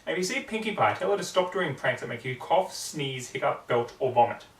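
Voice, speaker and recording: A man voicing a young female unicorn librarian, earnest, fussy and easily excited, in a story reading. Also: medium pitch, a little fast, roomy sound, recorded from a distance, close to an everyday voice. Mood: exasperated. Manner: exasperated, fussy, prone to gushing.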